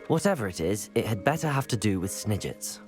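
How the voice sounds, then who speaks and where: A man's voice: on the low side, very animated, a little fast, darkish tone, soft background music. A man playing a supportive, composed teenage wizard in a video game, polite and encouraging. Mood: keen, determined. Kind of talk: scripted video game dialogue acting.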